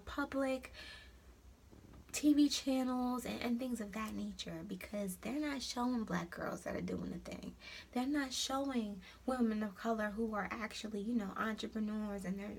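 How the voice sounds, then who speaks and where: Encouraging young woman with a gravelly voice. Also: fairly high pitch, a little hiss or hum. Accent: New York. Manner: warm, preachy and encouraging, calls viewers honey. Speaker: host of an encouragement site. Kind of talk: casual motivational vlog.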